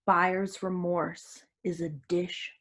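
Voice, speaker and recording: A woman's voice: lowish voice, flat delivery, slow, fairly bright tone. An actress as a smug, controlling second-in-command woman. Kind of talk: staged play reading.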